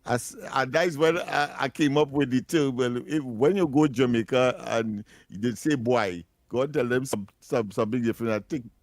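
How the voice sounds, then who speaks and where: A man's voice: medium pitch, normal speed, few pauses, no echo, clean studio sound. A man co-hosting a radio talk show in casual chat, who laughs easily and repeats himself.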